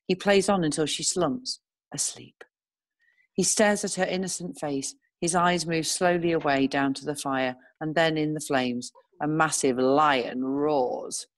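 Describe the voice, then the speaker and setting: A woman narrating screenplay stage directions in a measured, descriptive reading style. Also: deep voice, dry recording.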